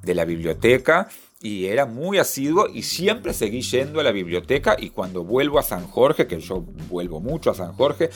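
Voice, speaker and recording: A man's voice: mid-range voice, very animated, moderate pace, soft background music. A man, reflecting on his reading and libraries with many restarts. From Argentina. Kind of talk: personal interview testimony.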